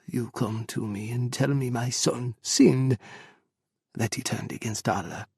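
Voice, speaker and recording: A man voicing a grieving, devout middle-aged mother who speaks in hushed, tearful tones and turns accusing. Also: rumbling low, a little slow, gravelly, slightly rough, no room sound, clean studio sound, full character voice. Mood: anguished anger.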